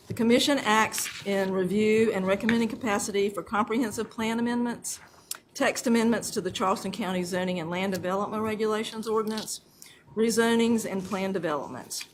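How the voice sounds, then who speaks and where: A woman chairing a public county meeting, reading the formal opening into a desk mic. Her pitch sits in the middle of the range. Noticeable hiss runs under the recording. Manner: casual and self-deprecating off-mic, then formal reading of the opening. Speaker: commission chair. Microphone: desk microphone that cuts out. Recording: noisy meeting room audio.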